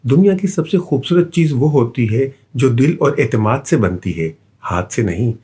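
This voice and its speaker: A man voicing a gentle, encouraging magical female cat in a children's storybook, reassuring like a wise mentor. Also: medium pitch, animated, normal speed, breathy edge.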